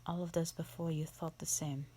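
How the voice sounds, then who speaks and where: A woman voicing a bored, contemptuous female vampire in an audio roleplay, with a breathy, creaky voice and a disdainful, formal drawl. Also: low voice, flat delivery, moderate pace, dark tone, a little hiss or hum, lightly acted. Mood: scornful, condescending. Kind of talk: scripted roleplay monologue for the listener.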